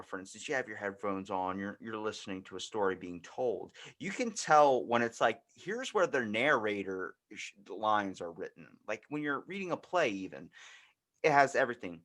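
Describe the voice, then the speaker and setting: A man's voice: medium pitch, pushed and bright. A man hosting a podcast in casual conversation, talking in a rambling, informal, slangy way. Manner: rambling, informal slangy talk with frequent 'like'. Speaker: podcast host.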